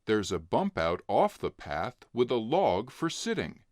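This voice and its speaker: A man narrating a children's storybook in an expressive read-along style. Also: fairly low pitch, measured pace, dry recording, studio quality. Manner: expressive, clearly acting out dialogue. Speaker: narrator reading a children's book.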